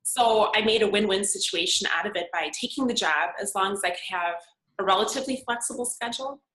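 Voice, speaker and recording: Serbian-born woman, 40s, earnest storyteller, bright voice, roomy echo. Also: mid-range voice, fast talker. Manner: earnest, reflective storytelling with many fillers. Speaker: podcast guest, makeup artist and model. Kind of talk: conversational interview answers.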